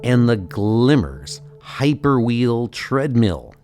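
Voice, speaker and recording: A man voicing a calm, grandiose alien museum guide in a comic book audio drama, speaking in a measured, formal way. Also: medium pitch. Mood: serene, stately. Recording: music under the voice. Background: music.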